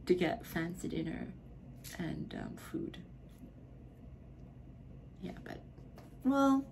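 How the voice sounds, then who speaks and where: Drunk woman, slow and gravelly. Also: lowish voice, darkish tone, a little hiss or hum, rough recording. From Philippines. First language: Filipino (Tagalog). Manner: slow, slurred, giggly, trailing off into whispers. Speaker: vlogger. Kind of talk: casual Q&A vlog. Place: bedroom at home, indoors.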